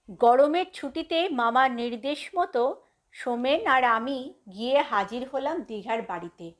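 A woman narrates a story from a script in an expressive storytelling style. Her voice is high in pitch and she takes her time, with a very slow, measured pace.

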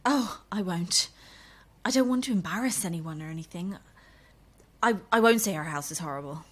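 An actress playing a shy, nervous, chatty romance novelist in a radio drama, hesitant, polite and self-deprecating. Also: female voice, lowish voice, expressive, moderate pace, husky. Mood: uneasy, polite. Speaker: actor in an audio drama. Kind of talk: naturalistic radio drama acting.

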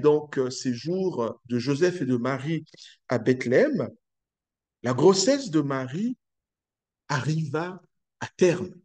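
A middle-aged man from Congo preaching a sermon to a webcam in a small room, slow and halting with many hesitations. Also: fairly high pitch, darkish tone. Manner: sways and gestures while preaching. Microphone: webcam at close range.

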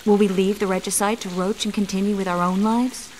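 A woman playing an earnest, caring young sorceress in a video game, speaking in a measured way. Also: mid-range voice, steady tone, darkish tone, a little hiss or hum, lightly acted. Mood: pressing.

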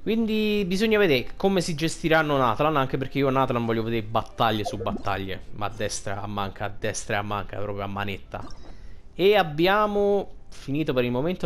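A man's voice: mid-range voice, expressive, moderate pace. A man giving casual, unscripted gameplay commentary, rambling and thinking aloud in slang. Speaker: gaming video host.

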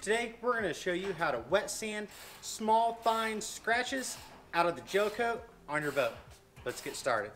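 A man's voice: high voice, lively intonation, a little slow, a crowd in the background. A man in his thirties, a boat dealer hosting his own channel, giving a casual, folksy how-to demonstration in a large showroom. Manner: easygoing, folksy instructional talk.

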